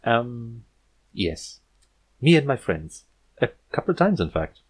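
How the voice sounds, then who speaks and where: A man's voice: mid-range voice, sing-song, measured pace, husky. A man voicing an earnest, polite young female unicorn princess in a cartoon story, easily flustered. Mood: sheepish. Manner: courteous, sputtering, deflated.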